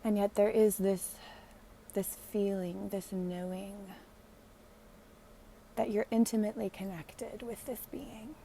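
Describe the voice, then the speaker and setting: Slow, mellow woman guiding meditation. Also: medium pitch, fairly even intonation, vocal fry, slight background noise. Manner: gentle, hushed delivery with long pauses. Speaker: guided meditation leader. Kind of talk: guided meditation, slow and soothing narration.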